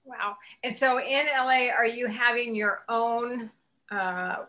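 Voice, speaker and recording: A woman in her sixties hosts the conversation with a bright, forward voice pitched a little high. She speaks with upbeat encouragement and a motivational lift. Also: fairly even intonation, slow pace. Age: 60s. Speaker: podcast host. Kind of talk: interview chat.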